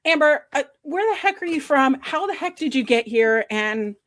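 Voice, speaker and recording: A woman with a New Jersey accent hosting a casual podcast over a video call, enthusiastic and quick to laugh. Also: high-pitched, animated, fairly bright tone. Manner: enthusiastic, laughing, slow and drawn-out. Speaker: podcast host. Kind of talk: casual chat.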